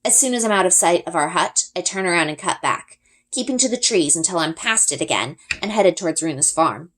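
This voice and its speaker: A woman reading a children's story aloud as an expressive first-person narrator. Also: on the low side, a little fast. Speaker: reader.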